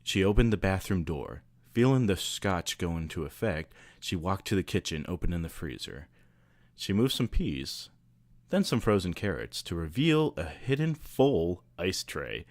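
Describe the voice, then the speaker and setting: A man's voice: low voice, big pitch swings, measured pace, a little mellow, no echo. A man reading scripted story narration as an amateur fan-fiction narrator.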